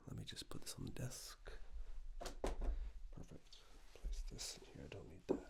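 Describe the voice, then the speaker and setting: Very low, whispery man, soft ASMR. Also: very animated, gravelly. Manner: unhurried, polite, murmured instructions with pauses. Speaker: ASMR performer playing a tailor taking suit measurements. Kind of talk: soft-spoken ASMR roleplay, personal attention.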